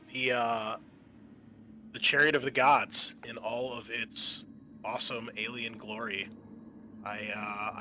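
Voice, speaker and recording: A man's voice: on the low side, pushed and bright, a little hiss or hum. A man hosting a tabletop gaming show as game master, speaking in a casual podcast style.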